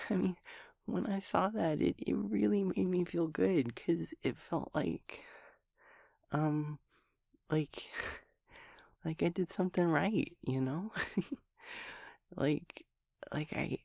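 Audio roleplay, male actor as scatterbrained young male empathy daemon, guileless and sweet, halting delivery with gravelly fry, very dry close sound. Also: sits high, darkish tone, no echo, exaggerated voice. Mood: happy, proud. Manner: stammering, repeating words, breaking into giggles. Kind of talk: intimate audio roleplay monologue.

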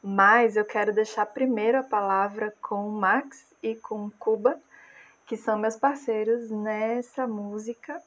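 Calm Brazilian woman explaining her track. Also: medium pitch. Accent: Brazilian Portuguese. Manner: calm, measured, unhurried. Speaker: music producer. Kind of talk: casual explainer of a music project. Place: small room indoors. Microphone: earphone mic.

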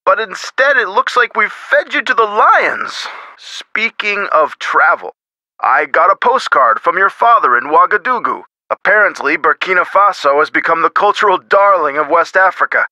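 An actor playing a genial, avuncular middle-aged lawyer in a video game, talking in a chummy, theatrical way with folksy turns of phrase. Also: male voice, high-pitched, lively intonation, moderate pace, bright, forceful tone, no room sound, exaggerated voice, poor audio. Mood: warm, chatty.

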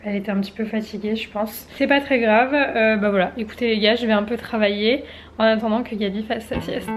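A woman's voice: on the high side, steady tone, brisk, over music. Chatty young woman with a stuffy nose. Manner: chatty, talks to camera and to her baby. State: slightly stuffy, with a cold. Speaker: vlogger. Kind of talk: casual vlog chat. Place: home bedroom indoors. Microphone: handheld camera at arm's length.

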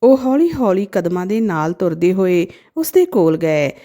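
A woman narrating a sad moral story from a script in a gentle, emotive storytelling manner. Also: lowish voice, sing-song, moderate pace.

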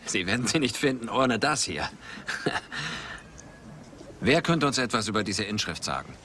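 An actor playing a wry, terse adventurer archaeologist in a German film dub. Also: male voice, on the low side, sing-song, moderate pace, sharp tone, slight background noise. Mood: intent.

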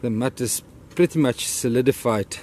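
Afrikaans-accented man, mid-pitched, rambling outdoor vlog talk on a camera mic, faint background noise. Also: moderate pace, a little mellow. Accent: Afrikaans-accented English. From South Africa. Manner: rambling, grateful, talks fondly to his dog. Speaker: vlogger. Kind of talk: casual vlog narration while walking a dog. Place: outdoors on a beach and a wooden boardwalk trail.

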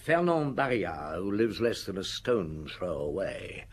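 An actor playing a shrewd, unhurried, wry middle-aged chief inspector with a husky voice in a radio drama. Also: male voice, deep voice, big pitch swings, slight background noise. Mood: wry, probing.

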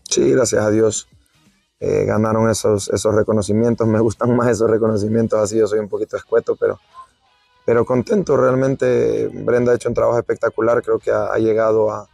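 A bearded man in his forties, a football coach interviewed outdoors on a pitch at night, answering modestly and halting, repeating words as he speaks. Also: little pitch movement, gravelly, warm tone, no room sound, soft background music. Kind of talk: post-training interview.

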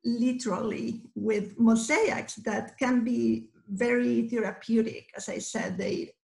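A woman in her fifties with an Argentine accent and native Spanish, talking informally as a guest in a measured, halting way, searching for words. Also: a little high, vocal fry, a little mellow. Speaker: guest, mosaic artist. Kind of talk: informal interview talk. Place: small indoor studio room. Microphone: computer mic on video call. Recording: roomy video-call sound.

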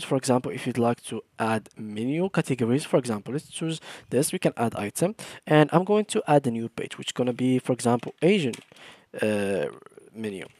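A man walking viewers step by step through a software tutorial over a screen recording, in plain instructing speech with slightly non-native phrasing. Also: mid-range voice, dry recording. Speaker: tutorial host.

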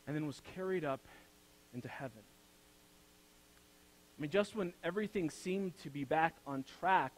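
A young man delivers a Sunday sermon from the pulpit of a church sanctuary. His voice sits fairly high for a man. He moves between forceful, rising proclamations and quiet, dropped-down phrases. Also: low-quality recording. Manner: builds to loud emphatic peaks, then drops to soft low phrases. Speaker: pastor.

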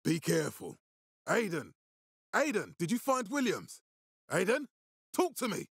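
A commanding militia boss for a video game, with a gravelly edge and a pitch that swings widely. He swears hard and gives blunt, urgent orders. The sound is dry and close. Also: wildly swooping pitch, a little slow, no echo, full character voice. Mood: firm, commanding, then urgent, worried. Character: commanding, hard-swearing male militia leader in a zombie apocalypse. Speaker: voice actor. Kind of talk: video game dramatic acting.

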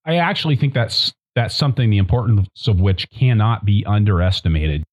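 A man's voice: lowish voice, a little fast, highs cut off. A man hosting a farming podcast, thoughtful and emphatic in conversation. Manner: thoughtful, emphatic, lively inflection. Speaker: podcast host. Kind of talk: conversational interview.

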